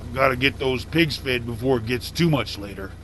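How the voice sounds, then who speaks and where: An actor playing a big, selfish, murderous farmer in a horror radio play, muttering menacingly to himself and bursting into shouts. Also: male voice, mid-range voice, brisk, background noise, lightly acted.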